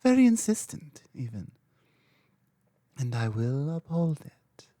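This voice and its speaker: An ancient dragon queen, played by a woman, dominant and cryptic, who speaks slowly and lets her mellow voice rise and fall in lively swoops before sinking into soft whispers. The close, dry recording keeps every breath near the ear in this ASMR roleplay. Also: low voice, no room sound, full character voice. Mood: cryptic, commanding. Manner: slow, cryptic, commanding, drifting into soft whispers.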